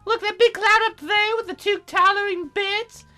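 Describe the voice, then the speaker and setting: A man voicing a bossy, bratty young fairy girl in a squeaky falsetto for a visual novel game. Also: fairly even intonation, moderate pace, sharp tone, soft background music. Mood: excited, huffy. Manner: petulant, demanding.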